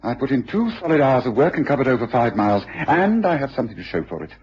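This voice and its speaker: An English actor playing a self-assured, courteous, authoritative detective in a vintage radio drama. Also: male voice, mid-range voice, very animated, quick pace, a little mellow. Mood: brisk, confident. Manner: crisp, polite, authoritative. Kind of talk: 1950s BBC radio drama acting. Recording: dull, noisy vintage radio recording.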